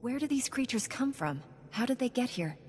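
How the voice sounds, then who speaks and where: An actress playing a calm, principled female knight in a video game, speaking in a measured, polite way. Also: fairly high pitch, slight background noise. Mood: composed. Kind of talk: scripted video game acting. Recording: long reverb, music underneath.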